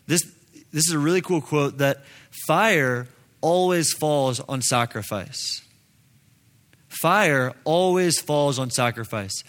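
A man's voice: mid-range voice, fairly bright tone. A man giving a casual sermon in an informal, conversational and earnest manner. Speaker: preacher.

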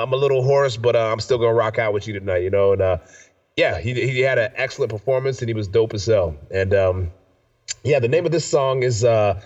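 A man hosting a casual show, slangy and enthusiastic, sounding nasal and stuffed up with a cold, repeating himself for emphasis. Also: medium pitch. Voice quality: nasal, congested. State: sick with a stuffy nose. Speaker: radio show and podcast host. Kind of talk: casual chat. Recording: distant mic, dull lo-fi sound.

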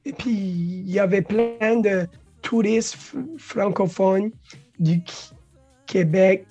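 Slow, halting woman. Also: medium pitch, fairly even intonation, mellow, husky, dull audio, soft background music. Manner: halting, restarts words, mixes English into French, laughs often. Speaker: guest. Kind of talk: casual interview talk.

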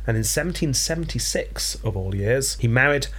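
A man's voice: medium pitch, animated, normal speed, a bit bright. A man hosting a casual chat, telling a history story with dry, jokey asides. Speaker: podcast host telling history.

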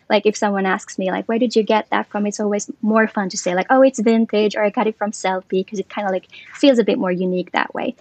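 Gravelly-voiced woman guest. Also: mid-range voice, no echo. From Finland. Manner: relaxed, explanatory, with frequent fillers. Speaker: guest, commercial lead. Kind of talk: podcast interview.

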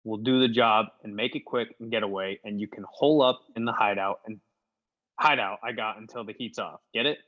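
A man's voice: on the low side, fairly quick, dull audio, natural voice. A man playing a tough, commanding gangster in a radio play, giving clipped, slangy orders. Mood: brisk, commanding. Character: brisk, commanding tough gangster plotting a job. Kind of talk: classic radio gangster acting.

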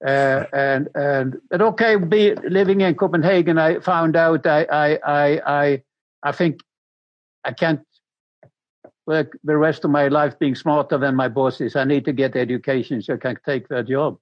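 An older man from Sweden with a Swedish accent, speaking English as a non-native and recounting his life in a halting way, repeating words as he searches for them. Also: on the high side, steady tone, dry recording, highs cut off. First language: Swedish and Danish. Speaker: interview guest.

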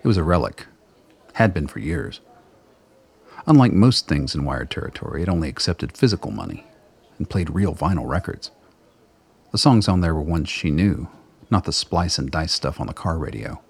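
A man's voice: rumbling low, big pitch swings, moderate pace, gravelly, pauses often, husky. A man reading scripted third-person narration for a radio play.

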